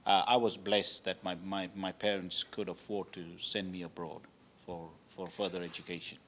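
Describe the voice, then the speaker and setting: Man from Zambia, hotel owner, low pitch, halting polite answers. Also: flat delivery, a bit bright, slight background noise. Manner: hesitant, many ums, polite. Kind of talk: interview answers.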